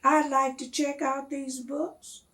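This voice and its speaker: A polite young boy from a storybook, played by a woman reader. The voice is high and unhurried, gentle and earnest, yet insistent as he stands his ground. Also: little pitch movement, distant mic, exaggerated voice. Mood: polite, firm.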